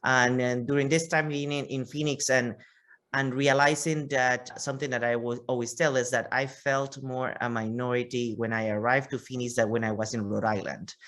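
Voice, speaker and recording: A man's voice: medium pitch, little pitch movement, moderate pace, fairly bright tone. A man with a Panamanian Spanish accent giving long, conversational interview answers, often repeating words as he goes. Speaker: interview guest, physician.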